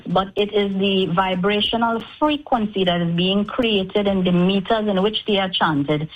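A woman with a Trinidadian accent and a slightly low voice speaks as a radio guest. Her phrasing is formal and articulate, like a prepared announcement. The sound is somewhat dull and lo-fi. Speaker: guest spokesperson for an event.

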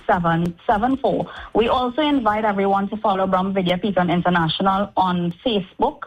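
A Trinidadian woman speaking as a spokesperson in a radio interview, with articulate, formal and measured phrasing. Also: medium pitch. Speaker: guest spokesperson for an event. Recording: dull, band-limited radio sound.

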